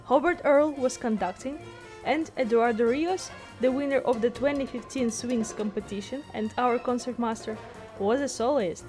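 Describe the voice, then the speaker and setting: A woman's voice: fairly high pitch, normal speed, loud music behind the voice. A woman working as a vlogger, narrating from a script and chatting with musicians as an interviewer.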